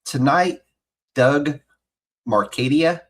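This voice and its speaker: A man hosting a podcast, who reads a dramatic scripted intro and then chats casually with his guest. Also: fairly high pitch. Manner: dramatic, measured delivery in the intro. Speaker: podcast host.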